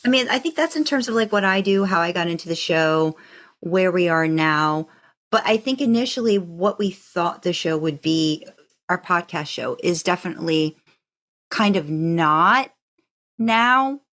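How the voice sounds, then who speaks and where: A woman's voice: fairly low pitch, expressive, measured pace, fairly bright tone. A woman co-hosting a podcast in casual fan chat, talking in a reflective, rambling way that circles back mid-thought. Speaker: podcast co-host.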